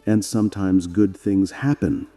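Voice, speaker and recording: A man voicing a wise, kindly old village woman in a gentle, reassuring manner for a moral story. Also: low voice, steady tone, a little slow, in a large hall, soft background music.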